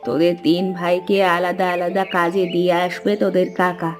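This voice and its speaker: A woman's voice: fairly low pitch, flat delivery, moderate pace, faint music behind, lightly acted. A woman voicing a worried, nagging village mother and housewife in a cartoon fairy tale. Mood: motherly urging.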